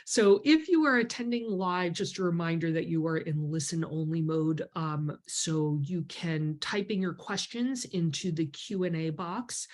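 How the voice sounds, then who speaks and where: Congested woman in her 50s, low-pitched, chatty host explaining on a home video call. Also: a little slow, hardly any pauses. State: congested from a cold. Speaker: grant writing mentor hosting a members call. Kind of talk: casual webinar talk with many ums. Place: home office with bookshelves. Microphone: webcam on a video call.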